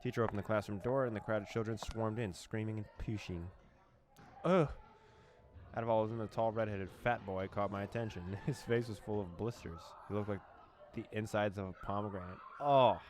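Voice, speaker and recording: A young man with a low, deep voice reads a horror visual novel aloud to his viewers. He breaks off from the text now and then with quick reactions and short laughs. A faint hum of game sound sits behind him. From former USSR. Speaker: let's play host.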